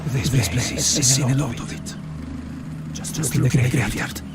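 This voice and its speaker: An actor playing an anxious Italian resistance partisan in a war game, with an Italian accent and halting, repeating speech. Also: male voice, medium pitch, over loud music, natural voice. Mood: uneasy, somber. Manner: halting, repeats his first words. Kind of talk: video game cutscene acting.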